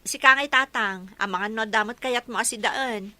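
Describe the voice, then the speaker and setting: A woman's voice: a little high, moderate pace, sharp tone, no echo. A woman playing an earnest, emotional young wife and mother in a radio drama, speaking respectfully with an Ilocano accent. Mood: eager, helpful.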